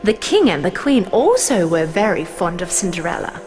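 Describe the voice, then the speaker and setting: A woman's voice: on the low side, sing-song, moderate pace, music in the background. A woman narrating a scripted fairy tale as a storyteller.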